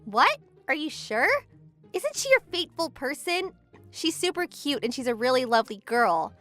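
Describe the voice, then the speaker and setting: Woman acting high-pitched huffy schoolgirl Yuri. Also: very animated, normal speed, a bit bright, soft background music. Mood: curious. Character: Yuri, tsundere teenage girl, jealous childhood friend in denial. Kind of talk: manga dub romcom acting.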